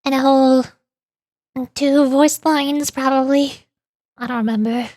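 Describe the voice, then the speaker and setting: A woman streamer chatting casually about a video game in a rambling, playfully exasperated way, often halting and repeating words. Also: high-pitched, fairly even intonation, darkish tone, dry recording, clean studio sound. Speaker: streamer, VTuber. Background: background music.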